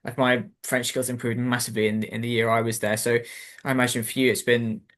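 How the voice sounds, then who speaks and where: A man's voice: mid-range voice, little pitch movement, quick pace, a bit bright. A man, hosting a casual interview and chatting in a rambling way, full of "like" and "um". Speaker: interview host.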